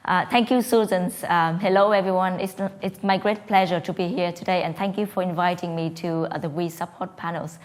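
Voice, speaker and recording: A woman's voice: fairly low pitch, fairly even intonation, brisk, hardly any pauses. A woman from Vietnam with a Vietnamese accent, a startup co-founder speaking on a panel, hesitant with many fillers and restarts. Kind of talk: prepared panel talk.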